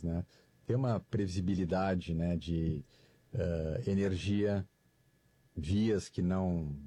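A man from Brazil, a company guest, answering in halting speech full of fillers. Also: deep voice, warm tone, slightly rough, no echo. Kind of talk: interview answers.

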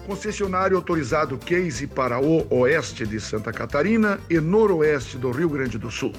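A man hosts a radio music program with a southern Brazilian accent. His voice sits fairly high, and he reads links and sponsor copy in a courteous, formal, measured way. Light music plays beneath him. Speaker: radio music show host. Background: music under the voice.

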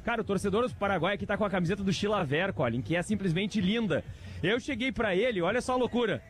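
Fast upbeat field reporter. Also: male voice, high voice, lively intonation, a little hiss or hum. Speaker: sports reporter.

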